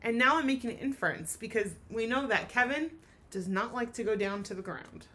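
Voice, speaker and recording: A woman with a fairly high voice leads a read-aloud lesson for children. She speaks as a teacher, with expression, and puts ideas into simple words for young listeners. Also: expressive, a little fast, pushed and bright, slight background noise.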